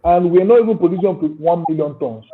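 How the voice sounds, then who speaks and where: A man's voice: on the high side, fast talker. A man with a Nigerian accent, speaking as a panelist over a call and earnestly explaining his points with proverbs and examples. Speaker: panelist, agribusiness owner. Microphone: remote call audio. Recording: tinny call line.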